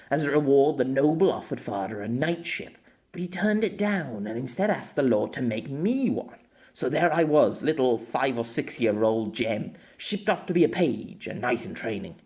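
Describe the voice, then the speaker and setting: A man acts out a young former knight in an audiobook story. He is cocky and wry, bantering with a lively, moving pitch and a self-deprecating streak. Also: close to an everyday voice.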